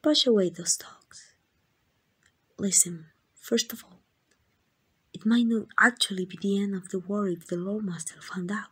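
A woman with a Mexican accent playing a tender, devoted queen in a romantic ASMR roleplay, speaking in gentle, intimate, breathy whispers. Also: mid-range voice, unhurried, long pauses. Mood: soothing, reassuring. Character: tender, devoted queen in secret love with a commoner.

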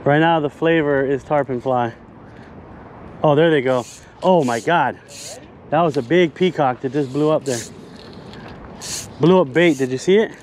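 A man in his thirties vlogging while fly fishing outdoors by a lake, recorded on an action camera, talking casually and getting excited when fish show up. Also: a little high, a little slow, long pauses, a little hiss or hum. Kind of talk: casual vlog narration.

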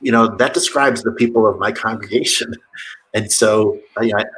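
Earnest low-voiced pastor rambling on a call. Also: male voice, normal speed, a bit bright, a little hiss or hum, low-quality recording. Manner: earnest, rambling with many restarts. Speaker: pastor, guest. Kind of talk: video call conversation. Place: home office with bookshelves.

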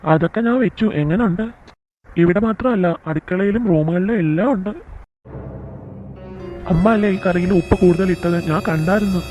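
A man's voice: sits high, normal speed, long pauses, darkish tone, soft background music, natural voice. A man playing an indignant young married son in an amateur cartoon skit, scolding emphatically. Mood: accusing, firm.

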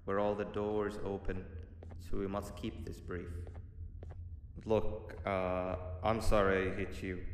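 Shifty cartoon devil mascot from a game, coaxing n kinda sorry, big echoey reverb, loud noise behind. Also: male voice, low voice. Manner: conversational, hesitant, coaxing. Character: shifty, apologetic red cartoon devil mascot, scheming male monster. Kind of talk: video game character acting.

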